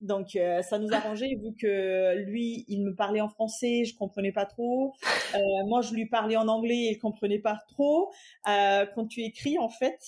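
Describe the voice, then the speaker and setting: Mellow-voiced German woman in her thirties. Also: mid-range voice, steady tone, moderate pace. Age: 30s. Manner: hesitant, many fillers and restarts, light laughs. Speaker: guest, entrepreneur. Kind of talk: casual interview chat.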